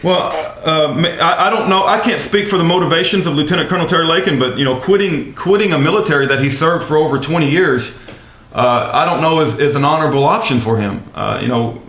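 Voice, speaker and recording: A man's voice: a little high, brisk, fairly bright tone. An American man speaking as an activist caller on the phone, earnest and polite, hesitating and repeating himself as he makes his case. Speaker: activist caller phoning a congressman's office. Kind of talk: earnest phone conversation, advocacy. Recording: roomy recorded phone call.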